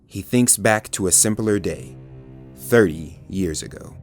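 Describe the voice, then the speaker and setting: A man's voice: low-pitched, a little slow. A man giving dramatic scripted narration, grave and portentous in delivery. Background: dramatic music.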